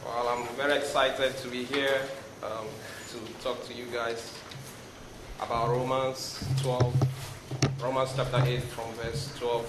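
A man in his thirties from Nigeria with a Nigerian accent, giving a sermon as a guest speaker, reading scripture and praying, often pausing with ums and restarting his sentences. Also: mid-range voice, level tone. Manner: hesitant, frequent ums and restarts. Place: large hall before a youth crowd. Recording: echoey room with noise.

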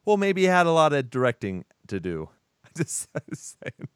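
A man's voice: a little high, big pitch swings, normal speed, clean tone, no room sound, clean studio sound. A man from Texas co-hosting a podcast, chatting casually about a film with laid-back, profane asides. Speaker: podcast co-host.

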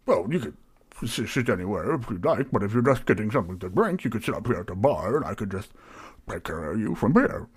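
A man's voice: on the low side, big pitch swings, fast talker, slightly rough, full character voice. A man voicing a large, nervous, friendly half-elven tavern keeper who stammers politely in a tabletop game. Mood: polite, puzzled.

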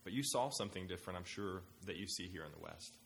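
A man hosting a casual panel discussion, eager and quick to steer the talk with questions. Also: deep voice, vocal fry, fairly bright tone. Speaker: host.

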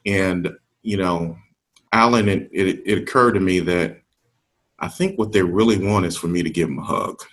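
An older man with a very deep, low voice speaks in a calm conversational discussion. He takes his time and pauses often with small fillers as he gathers his thoughts. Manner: measured, pausing with ums. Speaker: discussion panelist.

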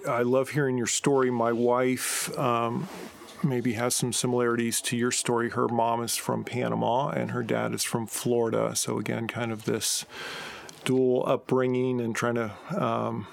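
Male co-host, heavy vocal fry, flat intonation, casual and reflective conversational delivery. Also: medium pitch, measured pace. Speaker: podcast co-host.

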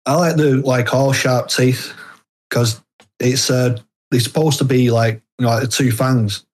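A man chatting casually as an interview guest, speaking haltingly with hesitant, broken phrasing and repeated false starts. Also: mid-range voice, fairly even intonation. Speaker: guest author.

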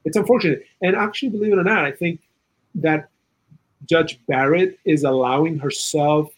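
A man from South America giving earnest, opinionated political commentary in a conversational way, with a husky voice and plenty of "you know". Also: on the high side, moderate pace. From South America, Chile. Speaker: interview guest, political and legal commentator.

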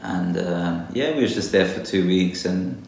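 A man's voice: deep voice, measured pace, a little mellow, very echoey. A man from London, a guest botanist, talking reflectively in a casual interview, hesitant with many fillers. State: a little nervous.

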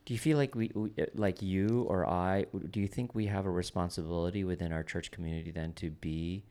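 A man interviewing a peer about church life, halting and restarting his phrases and checking that he is understood. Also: sits low, steady tone, dark tone. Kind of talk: conversational interview.

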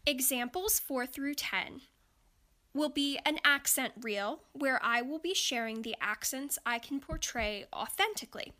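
A woman's voice: high voice, expressive, unhurried, sharp tone, full character voice. A young woman acting as a frustrated aspiring voice actress recording at home, muttering and snapping between takes in a comedic audio monologue. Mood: exasperated. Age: young adult.

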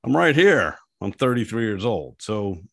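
A man with a deep voice talking in a casual interview, an animated and self-deprecating storyteller. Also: moderate pace, creaky. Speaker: guest, app founder.

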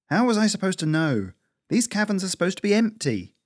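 A man's voice: on the high side, expressive, normal speed, dry recording, clean studio sound, voice pushed up, natural voice. A man voicing a defensive, rash young female squirrel in a narrated adventure story.

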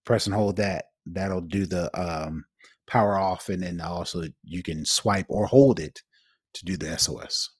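A man's voice: low-pitched, measured pace, slightly rough, no room sound, clean studio sound. A man co-hosting a tech podcast in casual chat, explaining things informally. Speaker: podcast co-host.

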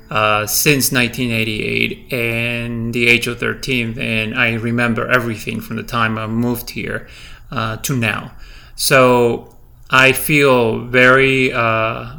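A middle-aged man with a light Peruvian Spanish accent talks through his thoughts slowly, stopping often and restarting his sentences. His voice is gravelly and bright with some edge. Soft music plays faintly underneath. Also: mid-range voice. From Peru. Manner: hesitant, many fillers and restarts. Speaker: podcast host. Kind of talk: personal reflective monologue.

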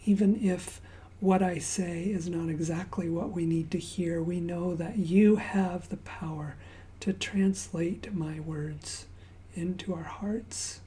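A woman raised in Zambia preaching at an unhurried pace, with a mellow, warm tone. Her prayer and stories alternate between relaxed, chatty asides and soft, hushed near-whispers. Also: fairly low pitch, slight background noise. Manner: conversational storytelling with fillers and asides. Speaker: pastor. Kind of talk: sermon with opening prayer.

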